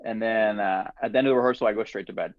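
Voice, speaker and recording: A man's voice: lowish voice, racing, no room sound. Man telling stories, dull dry sound. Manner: relaxed storytelling with frequent laughs. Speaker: podcast host. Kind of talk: casual conversation.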